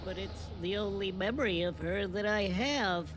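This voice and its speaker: An actor playing a weary, anxious, naive male creature in an animated game cinematic, pleading in a hesitant, plaintive way. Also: medium pitch, normal speed, music in the background, close to an everyday voice, poor audio.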